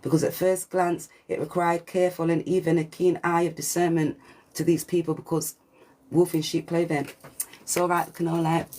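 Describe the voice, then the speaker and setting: A woman with a deep voice giving a channelled spiritual reading, preachy and repetitive, with swearing and slang. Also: little pitch movement, normal speed, darkish tone, rough recording. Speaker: spiritual reader. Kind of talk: channelled collective reading monologue.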